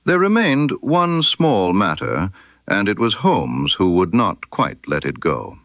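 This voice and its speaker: A man reads a period mystery story in a measured, literary manner. His voice is low and moves unhurriedly with lively intonation. The sound is dry and a little dull. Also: no room sound. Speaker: narrator of a detective story. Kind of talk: scripted first-person narration of a period mystery story.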